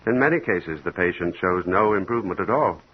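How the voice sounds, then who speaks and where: Low, husky doctor in old radio drama. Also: male voice, animated, brisk. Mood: grave, businesslike. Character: measured, businesslike male doctor breaking hard news. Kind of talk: 1950s radio drama acting. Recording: muffled vintage radio recording.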